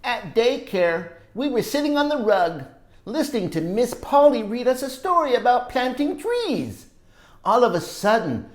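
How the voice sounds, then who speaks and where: Storybook schoolboy played by a woman: excitable, self-important, high-pitched, swooping delivery, roomy sound. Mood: self-important, earnest. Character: excitable, impatient young schoolboy who blurts everything out. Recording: roomy echo.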